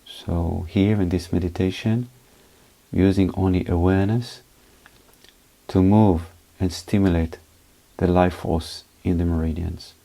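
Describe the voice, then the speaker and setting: A man leading a guided meditation as a teacher, speaking in short, unhurried phrases with pauses between them. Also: deep voice, fairly even intonation, creaky, warm tone, rough recording.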